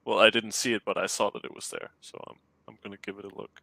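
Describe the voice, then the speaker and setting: A man's voice: fairly low pitch, steady tone, fast, vocal fry, bright, forceful tone, dry recording, low-quality recording. A man with a Danish accent chatting over a call, hesitant and giggly, often restarting his sentences. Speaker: client commissioning a map. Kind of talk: casual chat.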